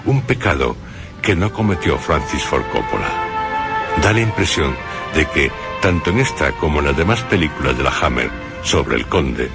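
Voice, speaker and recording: A man's voice: rumbling low, very animated, normal speed, loud music behind the voice. A man giving scripted film commentary on the radio, with personal asides. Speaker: radio commentator on horror films.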